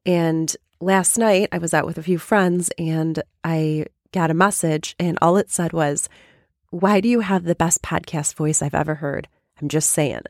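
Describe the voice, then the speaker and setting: A woman life coach hosting a podcast and explaining a method step by step, with a hoarse, raspy voice from a cold. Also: low voice, steady tone, a little slow, a little mellow, no room sound, clean studio sound. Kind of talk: casual coaching talk.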